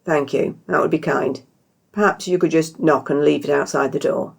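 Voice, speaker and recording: A woman's voice: sits low, steady tone, normal speed, creaky, slightly rough, heavily acted. Woman playing a terse, stern British male traveller. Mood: tense, reserved. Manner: tight, clipped, reserved.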